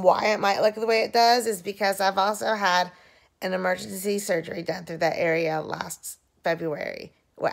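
A woman in her thirties, hoarse and very tired, talking slowly and drowsily into a phone held at arm's length while lying in bed at home, recording a casual video diary. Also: medium pitch. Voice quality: dry-mouthed, rough voice. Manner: slow, drowsy, rambling. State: hoarse and very tired after surgery. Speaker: vlogger.

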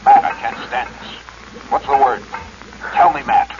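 An actor playing an agitated, desperate treasure hunter in a 1940s radio drama. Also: male voice, mid-range voice, steady tone, unhurried, close to an everyday voice. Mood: frantic, pleading. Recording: noisy, muffled old radio recording.

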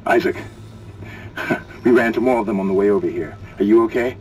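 A man acts out a determined spaceship commander in a horror game, with a fairly deep voice. He gives orders firmly and sternly. The sound is distant, under clearly audible machinery and radio noise. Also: fairly quick, lightly acted. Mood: tense, controlled. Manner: firm, commanding. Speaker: squad leader. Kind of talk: video game dramatic acting.

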